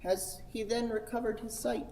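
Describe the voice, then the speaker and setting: A woman playing a stiff, grumbling old gentleman motorist in a radio play, with a dry, long-suffering manner. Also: on the high side, fairly even intonation, moderate pace, vocal fry. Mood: dry, gloomy. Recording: reverberant hall, faint noise.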